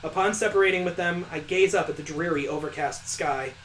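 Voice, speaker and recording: A man's voice: high-pitched, brisk, a bit bright, slight background noise, low-quality recording. A man reading a visual novel aloud as a let's player, breaking in with sarcastic, incredulous asides. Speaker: video game let's player.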